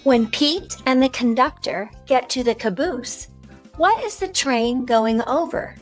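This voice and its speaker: Woman doing storytime for kids, slow and expressive, quiz questions, soft music behind. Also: high-pitched. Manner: slow, expressive delivery aimed at young children. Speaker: children's storybook reader and host.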